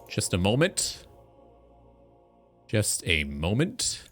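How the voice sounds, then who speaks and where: A man's voice: on the low side, huge pitch swings, slow pace, a bit bright, faint music behind. A man hosting a live stream in a casual, informal talk show style, swearing freely.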